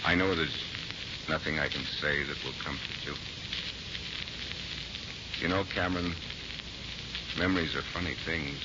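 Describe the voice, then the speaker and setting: An actor playing a steady, grave Marine major in a 1940s radio drama, speaking with measured authority. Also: male voice, very deep voice. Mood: grave, quiet, resolute. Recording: muffled, crackly 1940s radio recording, heavy hiss.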